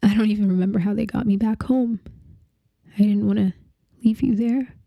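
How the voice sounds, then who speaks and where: A young woman playing a grieving partner in a dramatic monologue, with a halting, tearful delivery full of restarts. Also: medium pitch, steady tone, gravelly, dry recording, lo-fi sound, lightly acted.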